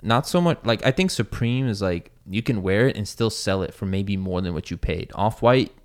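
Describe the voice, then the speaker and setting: A man's voice: on the low side, expressive, normal speed. A man in his thirties, a sneaker YouTuber, chatting casually and rambling with lots of fillers and swearing. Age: 30s. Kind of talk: casual chat.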